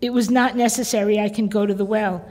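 A woman reading aloud plays a proud, hot-tempered young warrior man of the desert. He bursts out in startled questions and sharp commands, his voice trailing into a long hall echo. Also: mid-range voice, steady tone, quick pace, close to an everyday voice. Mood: softened.

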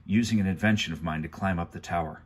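A man reading aloud and voicing an inventive, resourceful teenage girl in a soft, raised voice. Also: low-pitched, fast, breathy edge. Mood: anxious.

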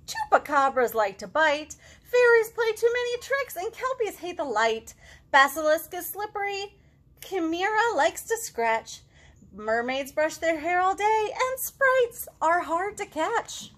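A woman in her thirties leading a storytime for young children, expressive and encouraging, with simple child-directed phrasing. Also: extremely high pitch. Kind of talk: animated children's storytime, reading aloud and chatting to young children. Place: living room at home.

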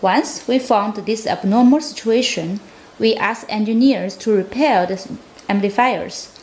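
Female product demonstrator, careful scripted read, mid-pitched, recorded in an echoey room with faint noise. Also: a little slow, darkish tone. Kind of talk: scripted product demo narration. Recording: roomy echo, faint background noise.

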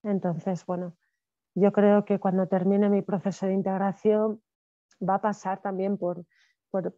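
A woman in her thirties, a guest speaker talking reflectively on a video call from home, with soft fillers and restarts. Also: medium pitch, no room sound. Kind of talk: conversational talk. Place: home room with wood ceiling. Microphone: video call with earphones. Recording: slightly dull video call audio.

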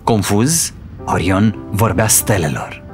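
A man's voice: on the low side, animated. A man reading scripted narration for a children's fairy tale. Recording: voice over prominent background music. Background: music.

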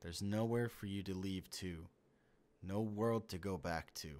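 A man playing an inhuman host who poses as a trusted friend in a comic dub, speaking in a measured, reassuring way. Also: low-pitched, a little mellow. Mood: calm, ominous.

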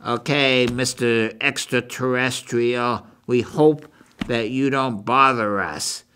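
An older man with a New England accent hosts a rambling book review in a halting, folksy way, repeating himself as he goes. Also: medium pitch, fairly even intonation, bright, forceful tone, slight background noise. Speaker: show host. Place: small meeting room indoors.

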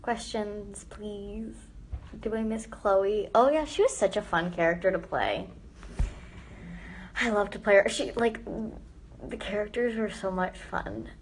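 A teenage girl chats loosely with viewers, giggling and drifting into soft, quiet asides. She is recorded up close on a phone's front camera in a small bright room. A faint hiss sits under her voice. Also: mid-range voice, animated, a little slow. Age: teens. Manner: chatty, giggly, lots of "like", trails into quiet asides. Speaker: live streamer answering viewer comments. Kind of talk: casual live stream chat. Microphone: phone front camera held close.

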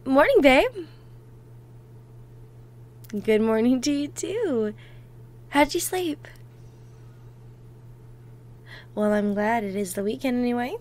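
A woman playing an affectionate, playful girlfriend in an ASMR roleplay, talking softly and intimately, sometimes close to a whisper. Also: on the high side, big pitch swings. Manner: soft, affectionate, unhurried, dropping into near-whispers.

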